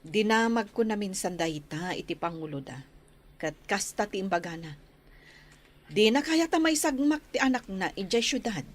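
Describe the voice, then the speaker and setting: A woman's voice: mid-range voice, lively intonation, moderate pace, natural voice. A woman playing a caring, concerned village nurse in an Ilocano radio drama.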